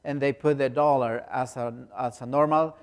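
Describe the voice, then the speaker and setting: A Salvadoran pastor, a man who grew up speaking Spanish, talking in halting English with a Spanish accent and many restarts. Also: medium pitch, steady tone, clean tone, long reverb tail. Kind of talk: speaking to a congregation in non-native English.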